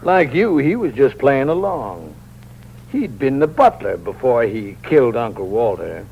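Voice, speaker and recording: An actor playing a shrewd, calm sheriff who drawls with wry amusement in a 1950s radio drama. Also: male voice, medium pitch, a little slow, vocal fry. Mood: knowing. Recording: dull, hissy old radio broadcast.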